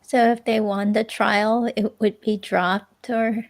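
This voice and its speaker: A woman's voice: medium pitch, flat delivery, slow pace, lightly acted. A woman playing a hesitant, curious ex-girlfriend questioned by police, speaking casually in a transcript reading. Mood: curious, cautious. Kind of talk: dramatic reading of an interview transcript.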